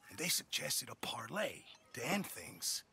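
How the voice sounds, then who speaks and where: A man's voice: medium pitch, sing-song, a little slow, gravelly, bright, forceful tone, breathy edge, exaggerated voice. An actor playing a blustering, eager-to-please fat man in a video game drama, boastful, rambling and nervous.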